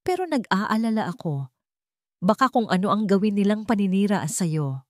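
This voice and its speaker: Storybook mother role, performed by a female narrator: middle-aged, anxious, caring and gently probing, with a dry close sound. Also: medium pitch, big pitch swings, moderate pace, no room sound, pitched above the performer's own voice, natural voice. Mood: worried, caring. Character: worried, trusting middle-aged mother on the phone.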